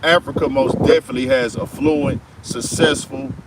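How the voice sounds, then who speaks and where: A man's voice: mid-range voice, fairly even intonation, moderate pace, background noise. An American man delivering an emphatic political commentary monologue in slangy speech, firing off rhetorical questions. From United States. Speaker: commentary host.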